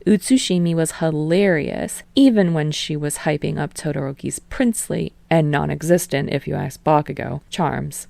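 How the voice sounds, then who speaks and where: Woman, fan fiction audiobook narrator, steady storytelling, gravelly, dry close recording. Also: low voice, moderate pace, few pauses, no room sound. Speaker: podfic reader.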